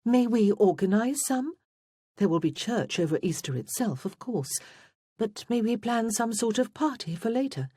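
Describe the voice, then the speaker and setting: A narrator voicing a lively, playful young lady of genteel manner in a period romance audiobook. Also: mid-range voice, normal speed, slightly rough, dry recording, studio quality, pitched above the performer's own voice. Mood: eager, playful.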